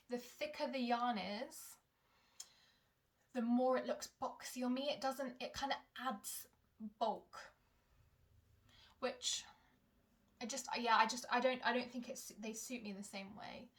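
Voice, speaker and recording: Young woman, knitting vlogger; higher voice, casual, rambling, self-correcting chatter. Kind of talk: casual chat to camera. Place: home in Germany.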